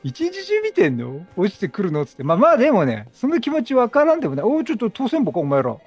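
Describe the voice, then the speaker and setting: A man giving casual, chatty game commentary, excitable, repeating phrases and reacting aloud. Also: high-pitched, quick pace, slightly rough. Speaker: game streamer. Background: game music.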